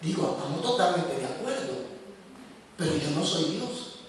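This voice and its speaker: A man's voice: fairly high pitch, animated, normal speed, slight background noise. A man preaching a sermon as a pastor, talking directly to his congregation in a conversational way. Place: church hall. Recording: echoey hall from far off.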